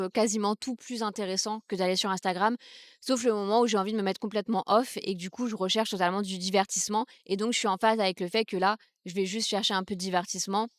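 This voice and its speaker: A young woman from Brittany, France, talking casually outdoors on a vlog and musing with many pauses and restarts. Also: medium pitch, dry recording, clean studio sound. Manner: thoughtful, hesitant, many fillers and restarts. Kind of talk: casual reflective vlog talk. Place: outdoors in the countryside, seated on a wooden stool.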